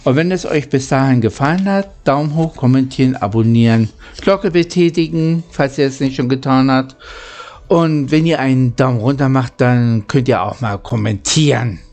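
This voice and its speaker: A man's voice: medium pitch, a little mellow. A man reading aloud as a storyteller and host, stumbling now and then over his words. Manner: halting reading with occasional misreadings. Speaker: audiobook reader and channel host. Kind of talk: storybook narration.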